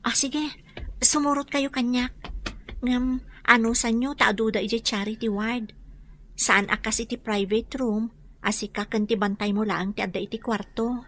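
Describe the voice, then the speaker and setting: A woman's voice: on the high side, level tone, moderate pace, a bit bright. A woman playing an anxious, dutiful young daughter who works as a housemaid, speaking with emotive, hesitant pleading in an Ilocano radio drama. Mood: polite, uneasy.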